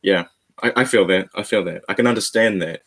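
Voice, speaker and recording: A man's voice: low-pitched, brisk, a bit bright. A man hosting a reaction video, talking straight to his viewers in a laid-back, slangy way. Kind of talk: casual reaction commentary.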